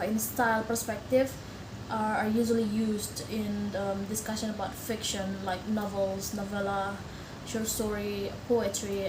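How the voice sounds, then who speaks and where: A young woman from Indonesia whose first language is Indonesian, giving a recorded class presentation in a hesitant way, with many fillers and restarts. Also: medium pitch. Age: young adult. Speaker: student. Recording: echoey room with background noise.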